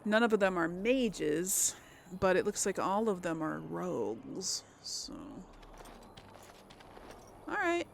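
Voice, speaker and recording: A woman's voice: on the low side, very animated, slow, vocal fry, long pauses, a little hiss or hum. A woman giving casual game commentary to viewers, exclaiming and muttering her reactions as she plays. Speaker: let's play host. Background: game music.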